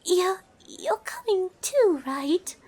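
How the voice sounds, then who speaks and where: Girl voicing a worried fancy pony lady, really high and super swoopy, stammers, fretful story character. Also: very animated, slow pace, darkish tone, full character voice. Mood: anxious. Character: nervous, refined young female pony, chattery worrier.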